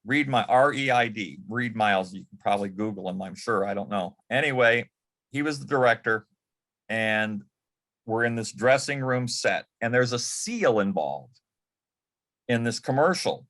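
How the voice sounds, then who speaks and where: A man's voice: medium pitch, lively intonation, normal speed, a bit bright. A man telling an anecdote casually as a panel guest, correcting himself as he goes. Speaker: panel guest, former celebrity assistant.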